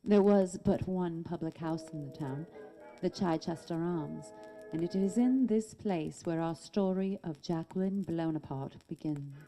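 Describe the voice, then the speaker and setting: A woman's voice: lowish voice, a little slow, dark tone, faint music behind, rough recording. A woman narrator giving scripted storytelling narration in a radio show.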